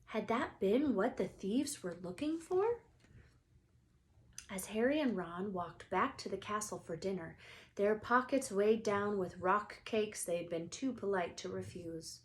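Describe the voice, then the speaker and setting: Female storybook narrator, mid-pitched, reading aloud for children. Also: expressive, a little slow. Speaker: storybook reader.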